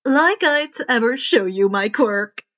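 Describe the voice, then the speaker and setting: A woman's voice: on the high side, expressive, takes it slowly, fairly bright tone. A woman voicing a cocky but kind teenage boy vigilante in a read-aloud story, casual and flippant, in a muffled recording. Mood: scoffing, defensive. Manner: flippant, matter-of-fact, casual. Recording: dull, muffled lo-fi home recording.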